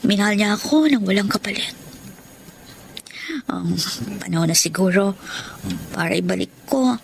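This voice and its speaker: A woman's voice: mid-range voice, moderate pace, creaky, a little hiss or hum, natural voice. An actress with a Filipino accent playing a sincere, devoted daughter in her thirties in a radio drama. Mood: reflective. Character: devoted 33-year-old daughter, guarded romantic, career woman. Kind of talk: Tagalog radio drama acting and first-person narration.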